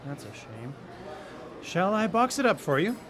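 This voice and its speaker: A man's voice: sits high, big pitch swings, a little slow, crowd noise. A man playing a polite, formal restaurant waiter in a live radio drama. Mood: courteous.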